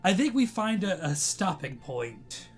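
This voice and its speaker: Slow, dry-witted man on a podcast. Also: high-pitched, sing-song, faint music behind. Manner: dry sarcastic quips. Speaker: podcast co-host. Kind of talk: casual comedic banter.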